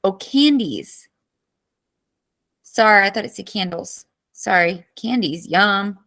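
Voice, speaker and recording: A woman teacher in her thirties runs a live online review session, her voice cracking and going hoarse. She chats easily, greets students one by one and answers their messages as they come in. Her pitch sits in a middle range for a woman. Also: slow pace, a bit bright. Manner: chatty, greets students by name and reacts to chat.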